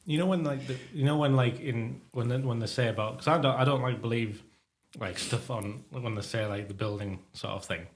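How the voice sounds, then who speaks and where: A man co-hosting a podcast in casual chat, sniffly, talking in a halting, rambling way with many restarts. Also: mid-range voice. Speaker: podcast co-host. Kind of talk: casual chat while tasting hot sauce.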